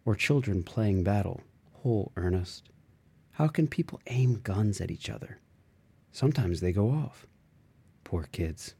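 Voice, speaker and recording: A man reading a literary novel aloud in a measured, expressive way with dramatic phrasing. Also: lowish voice, creaky, husky. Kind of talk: literary audiobook narration.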